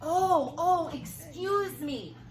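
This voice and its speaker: Lady doing whiny confused townsfolk from a kids story, drawn out n high, echoey room. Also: distant mic, exaggerated voice. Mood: pleading. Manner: exclaiming, exaggerated, drawn-out. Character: townspeople, pleading and baffled crowd of adults. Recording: roomy, noisy classroom-style recording.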